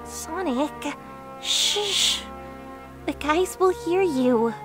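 A woman voicing a sweet, excitable young female hedgehog, girlish and emotional, in a cartoon comic dub. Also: very high-pitched, very slow, over music, exaggerated voice. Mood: coy, playful. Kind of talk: fan comic dub acting.

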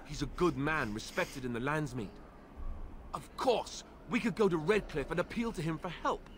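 A man's voice: fairly high pitch, normal speed, a little hiss or hum, lightly acted. A young man acting an earnest, anxious warrior in a fantasy game, hesitant and naive in his delivery. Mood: earnest, indignant. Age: young adult.

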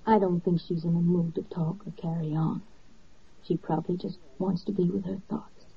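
A woman playing a gentle, considerate wife in a 1940s radio drama, speaking softly and conversationally. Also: on the low side, fairly even intonation, normal speed, gravelly, breathy edge, heavily acted. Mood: gentle, subdued. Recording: muffled old 1940s radio recording.

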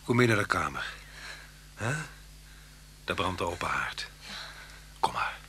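An actor playing an outwardly calm, solicitous murder suspect in a Dutch radio play, courteous and softly insistent. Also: male voice, deep voice, unhurried, exaggerated voice. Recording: humming, noisy old radio recording.

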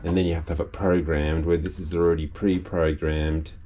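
An older man, casually explaining a project aboard his boat in an unhurried, halting way. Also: rumbling low, little pitch movement, warm tone, lo-fi sound, slight background noise. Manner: unhurried, halting with restarts and fillers. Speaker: boat owner and video maker. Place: cabin and engine room of a sailing catamaran.

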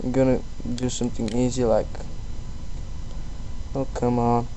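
Guy doing a casual how-to screen recording, mellow voice, kinda halting, some steady noise behind him. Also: mid-range voice, low-quality recording. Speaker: tutorial presenter. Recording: steady background noise on voice-over.

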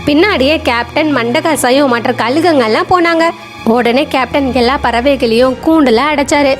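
A woman narrates a children's story in a high-pitched voice. She delivers scripted storytelling over a clearly audible music bed. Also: normal speed.

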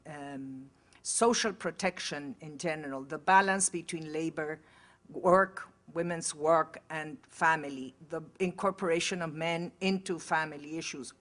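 Low-voiced woman giving a keynote. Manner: measured, with tag questions and self-corrections. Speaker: keynote speaker. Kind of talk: formal conference speech.

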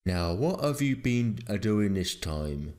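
A man's voice: on the low side, big pitch swings, slow pace, darkish tone. A man voicing a blunt, easily irritated farmer in a storybook, scolding in rustic turns of phrase. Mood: gruffly irritated. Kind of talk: storybook character voice.

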